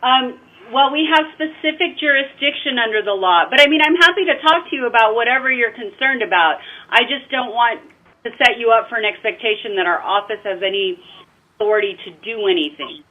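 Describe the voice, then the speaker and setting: An American woman, an office supervisor, speaking politely and officially in a measured way on a formal phone call. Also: fairly high pitch, expressive. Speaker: county attorney's office supervisor. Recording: narrowband telephone line.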